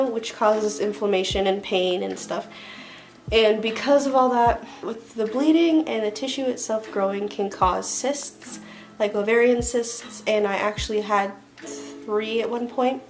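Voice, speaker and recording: A young woman in her twenties chats to the camera from a small bedroom, her voice a touch stuffy from a cold. She rambles loosely, full of "like" and restarts, with soft music faint behind her. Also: mid-range voice. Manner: chatty, rambling, lots of "like" and restarts. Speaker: vlogger. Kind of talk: casual chat to camera. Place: small bedroom with string lights, indoors.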